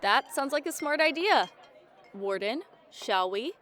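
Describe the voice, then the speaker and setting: A woman playing a skeptical, quick-witted adventurer in an audio drama. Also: sits high, expressive, a bit bright. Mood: friendly.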